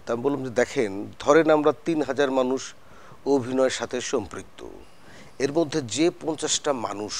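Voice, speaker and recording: A Bangladeshi man giving his opinions as an actor guest in a talk show discussion, earnest and argumentative. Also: mid-range voice.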